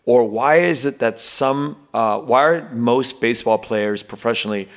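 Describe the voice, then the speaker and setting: A man's voice: medium pitch, normal speed. A man hosting a casual interview, rambling through his thoughts with many fillers and restarts. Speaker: podcast host.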